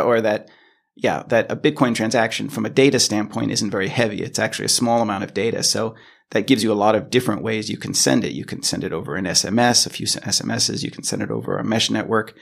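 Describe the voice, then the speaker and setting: An American man from California, explaining things conversationally as an interview guest, thoughtful and halting, often correcting himself. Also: lowish voice, fairly even intonation, vocal fry. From California, United States. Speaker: podcast guest, product engineer.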